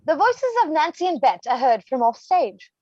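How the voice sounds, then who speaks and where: High-pitched woman reading stage directions. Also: sing-song, moderate pace, a little mellow, no echo, dull audio. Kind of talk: scripted narration for a play reading.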